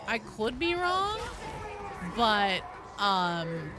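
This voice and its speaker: A woman's voice: medium pitch, very animated, extremely slow, noisy background. A woman chatting casually and swearing freely while she live streams a game. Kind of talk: casual live gaming chat.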